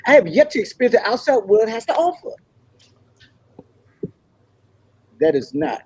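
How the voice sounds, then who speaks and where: A man's voice: very high-pitched, big pitch swings, quick pace, darkish tone, slightly rough, heavily acted. A man playing an earnest, idealistic young African prince in a comedy table read. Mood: hesitant.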